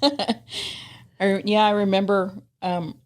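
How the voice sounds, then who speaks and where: A woman in her fifties, a podcast guest chatting warmly about her childhood and laughing often. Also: mid-range voice, steady tone, takes it slowly. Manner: warm, laughs often while reminiscing. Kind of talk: casual reminiscing chat.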